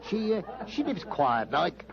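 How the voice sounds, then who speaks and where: An actor playing a jolly, gossipy working-class English publican in a radio drama, matey and rambling with chuckles and a gravelly voice. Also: male voice, a little high, big pitch swings, unhurried, full character voice. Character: jolly, gossipy working-class pub landlord, former coachman. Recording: muffled radio recording, noisy pub crowd.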